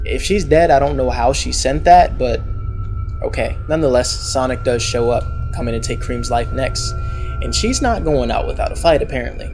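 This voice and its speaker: A man giving a casual spoken recap of a horror video series, dropping sarcastic remarks along the way. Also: medium pitch. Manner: wry, sarcastic asides. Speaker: video commentator. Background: background music.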